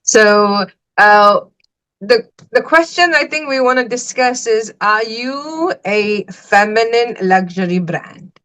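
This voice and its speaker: A woman's voice: a little high, animated, slow, fairly bright tone, low-quality recording. Chatty woman hosting a podcast. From Sri Lanka. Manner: chatty, lots of "like" and "y'all", emphatic asides. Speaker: podcast host. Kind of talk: casual podcast chat.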